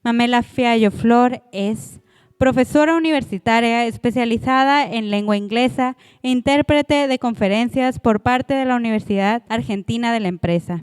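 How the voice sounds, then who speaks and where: A woman announcer reading a formal, scripted introduction of a guest speaker in a measured manner. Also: fairly high pitch, darkish tone, clean tone, no echo.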